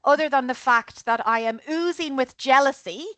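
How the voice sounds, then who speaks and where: An Irish woman presenting on a video call, her voice sitting a little high. She talks in a chatty, friendly rush, tagging lines with "okay?". The sound is dry and close, with no room around it. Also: moderate pace, bright, forceful tone, no echo. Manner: chatty, keeps adding "okay?". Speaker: tour operator director of sales. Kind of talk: online group presentation.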